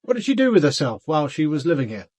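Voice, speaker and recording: An actor playing a measured, probing senior detective in a British radio drama, reserved and understated. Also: male voice, on the high side, very animated, darkish tone, no room sound. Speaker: actor in a radio murder mystery.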